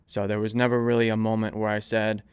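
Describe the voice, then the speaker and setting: A man in his twenties with a clean, smooth voice on the low side. He talks as a podcast guest, telling stories informally and feeling his way through phrases in a casual conversational style. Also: completely flat delivery, quick pace. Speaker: podcast guest, volunteer firefighter and police dispatcher. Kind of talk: casual conversational interview answers.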